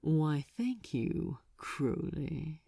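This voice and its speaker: A sly male archdemon from a fantasy story, acted out by a woman. He speaks slowly and elegantly in a low register, smug and tenderly menacing. The sound is close and dry. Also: sing-song, vocal fry, warm tone, slightly rough, no echo, heavily acted. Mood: breezy, pleased.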